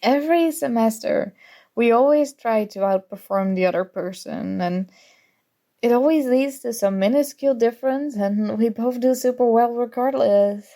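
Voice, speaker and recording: A woman's voice: on the high side, moderate pace, lightly acted. A young woman acting in an ASMR audio roleplay as a prickly but grudgingly fond student, teasing and defensive. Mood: wry, questioning. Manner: teasing, defensive, flustered, soft-spoken tsundere.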